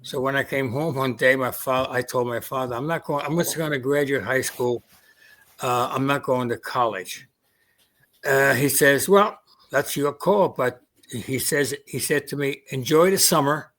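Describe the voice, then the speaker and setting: An elderly man with a Queens New York accent tells old family stories in an easy, conversational way. German was his first language, and his pitch sits in a middle range. He often pauses with hesitations and acts out the voices of his parents. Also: a bit bright. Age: 80s. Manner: reminiscing, quoting family dialogue with hesitations. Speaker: veteran guest. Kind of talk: casual interview storytelling.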